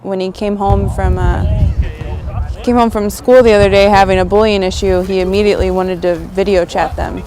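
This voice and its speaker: A woman's voice: medium pitch, steady tone, normal speed, a little mellow. Woman interviewed outdoors over faint noise. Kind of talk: casual interview.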